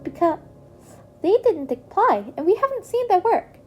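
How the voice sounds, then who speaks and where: A woman plays a cheerful little creature principal in an audio story. The voice is very high and swings lively as it talks in polite, eloquent phrases. Music plays softly behind it. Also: normal speed. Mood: upbeat, explaining. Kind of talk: acted storybook dialogue.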